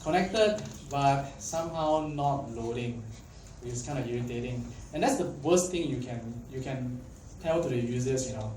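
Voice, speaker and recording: Young man from Malaysia, casual conference speaker, chatty, lots of fillers, quizzes the crowd; dark tone, faint background noise. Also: mid-range voice, moderate pace, few pauses. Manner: chatty, filler-heavy, asks the audience questions. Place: conference hall. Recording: echoey hall, mic at a distance, faint background noise.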